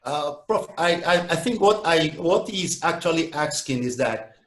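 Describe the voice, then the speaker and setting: A man's voice: on the high side, lively intonation, normal speed, a bit bright, echoey room. A man moderating a webinar Q&A, polite and hesitant, with many fillers. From Cameroon.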